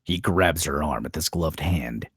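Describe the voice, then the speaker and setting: Deep, husky male narrator. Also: measured pace, dry recording, studio quality. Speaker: narrator reading stage directions. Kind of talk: scripted dramatic narration.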